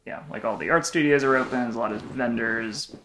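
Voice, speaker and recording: A man's voice: mid-range voice, a little fast, a bit bright, a little hiss or hum. A young man co-hosting a travel vlog, chatting casually and rambling with lots of fillers. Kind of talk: casual chat.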